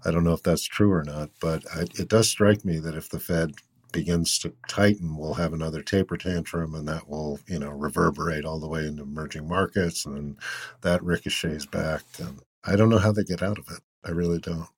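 A man with a husky voice chatting casually as an interviewer. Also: extremely low pitch, steady tone, brisk, dry recording.

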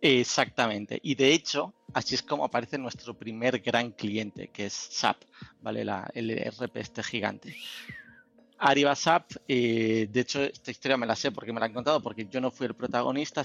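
A man's voice: mid-range voice, normal speed, vocal fry, fairly bright tone, lo-fi sound, faint music behind. A man with a Spanish accent from Spain, a company founder telling his story in a rambling, talkative way with lots of fillers. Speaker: guest, software company founder. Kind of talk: casual interview talk.

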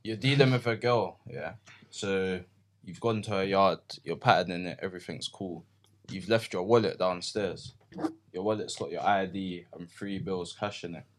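A man's voice: deep voice, moderate pace. A young man, a podcast co-host laying out a scenario in slangy, laid-back casual chat.

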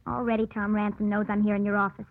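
A woman's voice: medium pitch, level tone, quick pace, no echo, natural voice. An actress playing an earnest young woman torn by forbidden love, intense in the manner of old radio drama. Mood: anguished, resolute. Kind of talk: 1940s-50s radio drama acting. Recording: muffled old radio broadcast.

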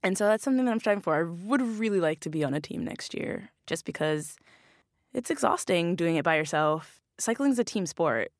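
A young woman with a fairly low voice, naturally loud, talks freely as an interview guest and laughs often between thoughts. The sound is close and dry, with no room around it. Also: animated, brisk, dry recording. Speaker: guest, bike racer. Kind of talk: casual interview chat.

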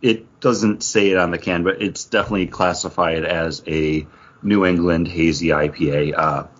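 A man's voice: low-pitched, fairly even intonation, moderate pace, a bit bright, dull audio. A man hosting a podcast, talking casually about comics and beer in a conversational way. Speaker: podcast host.

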